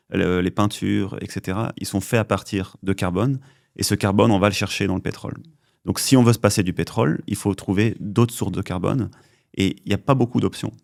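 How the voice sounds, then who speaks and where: Low gravelly man explaining patiently. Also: a little mellow, dry recording, studio quality. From western France. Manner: measured, explanatory, hesitant fillers. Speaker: company co-founder, chemist. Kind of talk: podcast interview answers.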